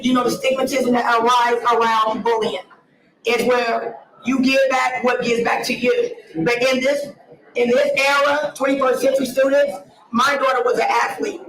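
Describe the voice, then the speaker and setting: Raspy woman from ohio, earnest talk, echoey big room. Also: fairly high pitch, fairly bright tone. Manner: earnest, emphatic presenting. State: raspy voice from an early start. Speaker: nonprofit founder presenting. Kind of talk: conference presentation. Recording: echoey hall, distant mic.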